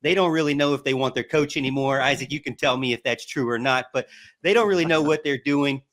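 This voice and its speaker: A man with a medium-pitched voice comments casually on sports. He speaks conversationally, as one of a talk show panel. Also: fast, fairly bright tone.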